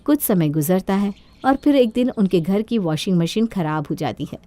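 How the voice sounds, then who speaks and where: A woman's voice: medium pitch, animated, moderate pace, dark tone, no room sound. A woman storyteller reading a scripted moral story.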